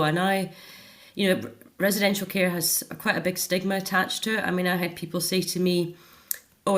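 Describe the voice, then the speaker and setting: Middle-aged woman with a lowish voice. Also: fairly bright tone. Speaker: interview guest, author. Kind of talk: candid personal account in a radio interview.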